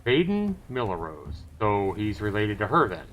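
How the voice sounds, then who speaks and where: Animated low-voiced man reading aloud. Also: moderate pace, a little hiss or hum. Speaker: livestream host. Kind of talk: reading a visual novel aloud with casual commentary.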